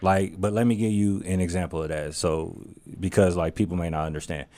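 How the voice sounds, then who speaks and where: A man's voice: low voice, fairly even intonation, fairly quick, warm tone. A man co-hosting a casual talk show, explaining his points step by step and acting out everyday examples. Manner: explains with acted-out examples, builds up energy. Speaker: co-host. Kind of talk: casual podcast chat.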